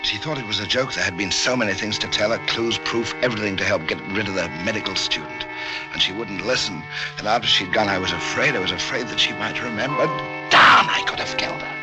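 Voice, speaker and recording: An actor playing a smug, self-righteous murderous doctor in theatrical 1940s radio style. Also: male voice, on the low side, quick pace, natural voice, poor audio. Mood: agitated. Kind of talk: 1940s radio acting, first-person narration. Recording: old radio recording with music behind.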